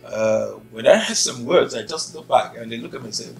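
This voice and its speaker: Nigerian man telling his story, slow. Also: medium pitch, animated, creaky. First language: Yoruba. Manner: unhurried, thoughtful, many "uh" pauses. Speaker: interviewee. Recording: faint music behind.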